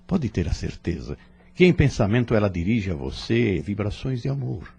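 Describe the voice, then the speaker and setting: A man playing a wise, serene spirit instructor in an audio drama, teaching in a solemn, measured way. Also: fairly low pitch, big pitch swings, vocal fry, mellow, breathy edge, exaggerated voice. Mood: tender, reverent. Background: music.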